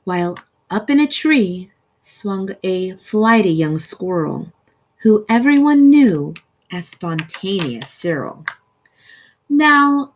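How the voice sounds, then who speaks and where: A woman reading a rhyming children's story aloud in an expressive, theatrical way with deliberate phrasing. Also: mid-range voice. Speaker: storybook reader.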